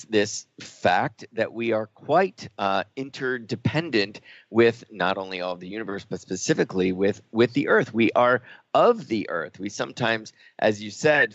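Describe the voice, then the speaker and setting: A male talk radio host with a lowish voice speaks earnestly and thoughtfully. He often pauses on fillers and restarts his phrases. The recording sounds dull and dry. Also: dry recording.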